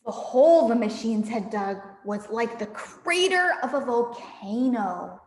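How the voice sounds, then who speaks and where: Animated woman reading a story, echoey room. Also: a little high, a little slow, slight background noise. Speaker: storybook reader for a camp audience. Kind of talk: expressive children's story read-aloud. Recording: echoey room with long reverb.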